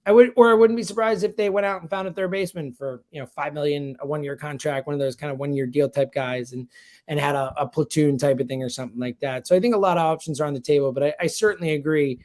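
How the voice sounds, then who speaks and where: A man's voice: fairly high pitch, steady tone, quick pace, a little mellow. A man talks sports in a casual, slangy way, like a radio guest breaking down a team. He is blunt and opinionated, leaning hard on his points with plenty of emphasis. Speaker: sports radio producer and host, guest commentator. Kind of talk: casual sports talk analysis.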